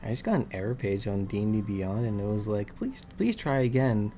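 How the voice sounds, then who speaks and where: A man's voice: fairly low pitch, animated, brisk, dark tone, faint music behind. A man running a tabletop game as game master, easygoing and laughing often.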